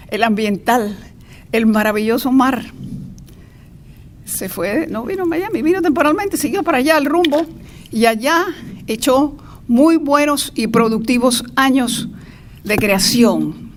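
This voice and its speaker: An older woman with a Cuban accent giving an informal, chatty introductory speech with playful asides. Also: fairly high pitch. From Cuba. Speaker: speaker introducing a lecturer. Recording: noisy live event recording.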